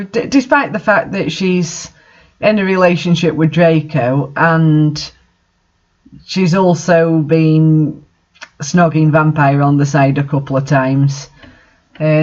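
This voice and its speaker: Person reading out fanfic and mocking it, sarcastic and disbelieving, kinda muffled lo-fi mic. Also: mid-range voice. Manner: incredulous, sarcastic, swearing. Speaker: reader and commentator. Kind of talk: dramatic reading of fan fiction with casual mocking commentary. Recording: dull lo-fi home recording.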